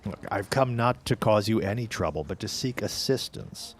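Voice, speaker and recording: A man's voice: on the low side, expressive, moderate pace, natural voice. A man acting a polite, persistent newspaper city editor in a 1910s period audio drama, speaking in a formal, courteous, probing way. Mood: probing, needling.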